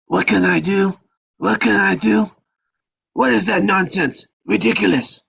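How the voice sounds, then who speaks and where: An actor playing a stern, commanding old mafia patriarch with a gravelly, husky voice in a script table read. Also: male voice, high-pitched, a little slow, a bit bright, no room sound, exaggerated voice. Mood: scolding, scornful.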